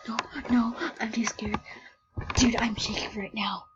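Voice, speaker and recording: A woman commenting on a game she plays, excitable and jumping between shrieks and hushed whispers, sick and shivering with cold. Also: on the high side, a little slow, highs cut off, noisy background. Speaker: game video creator. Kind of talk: casual gameplay commentary.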